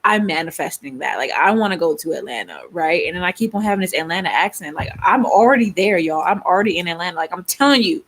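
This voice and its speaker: A woman's voice: fairly low pitch, expressive, quick pace, few pauses, fairly bright tone. Chatty young woman from Baltimore. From Baltimore, Maryland. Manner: chatty, rambling, frequent laughs and fillers. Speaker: livestream host. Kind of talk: casual live-stream chat.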